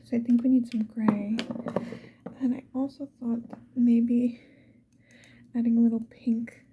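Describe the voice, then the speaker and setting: Woman, ASMR whisper, casual unhurried chat, very mellow tone, muffled lo-fi sound, faint hiss. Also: on the high side, flat delivery. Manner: soft whispering, unhurried musing to the viewer. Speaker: ASMR video creator.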